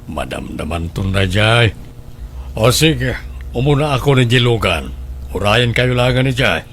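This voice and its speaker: An actor with an Ilocano accent playing a soft-spoken, apologetic middle-aged widowed father who likes the ladies, in a radio drama. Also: medium pitch, sing-song, a little slow, slight background noise. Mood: indulgent.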